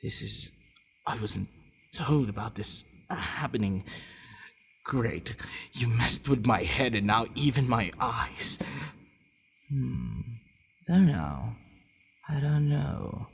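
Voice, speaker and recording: A man playing a possessive, aloof but softening vampire lord in an intimate, slightly haughty ASMR roleplay audio drama, with a low, gravelly voice. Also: lively intonation, slow pace, pauses often, exaggerated voice. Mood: startled, disbelieving. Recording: long reverb, faint background noise.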